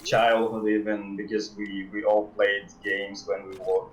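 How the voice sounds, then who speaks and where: A man being interviewed as a game developer on a casual livestream, speaking in halting, hesitant phrases. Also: lowish voice, completely flat delivery. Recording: dull, roomy sound.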